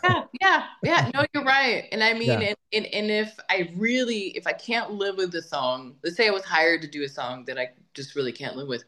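A woman's voice: medium pitch, expressive, normal speed, hardly any pauses, bright, forceful tone. A woman singer-songwriter being interviewed, talking in a chatty, emphatic way and often repeating words while she thinks. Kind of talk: casual interview conversation.

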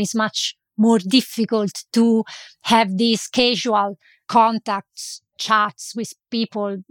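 A woman's voice: on the high side, fairly bright tone, no echo. A woman interviewing a guest, articulate and asking thoughtful questions. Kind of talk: conversational interview.

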